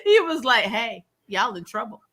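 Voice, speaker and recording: Bright, high-voiced woman bantering emphatically. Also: very animated, moderate pace. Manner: emphatic, exclaiming, swearing freely, laughing often. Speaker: co-host of a movie review podcast. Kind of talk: animated casual banter reviewing a film.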